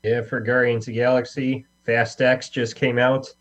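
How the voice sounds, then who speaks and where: A man's voice: medium pitch, little pitch movement, normal speed. A man, a guest, chatting casually. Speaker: guest actor. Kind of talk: casual chat. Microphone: video call. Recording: dull internet call with hum.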